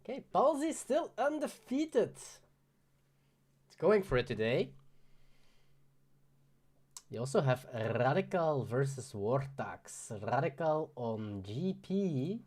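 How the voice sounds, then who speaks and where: A woman co-casting a card game tournament, with short reactions that trail off quietly. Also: low voice, very animated, slow pace, long pauses. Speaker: tournament co-caster. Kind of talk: casual card game commentary.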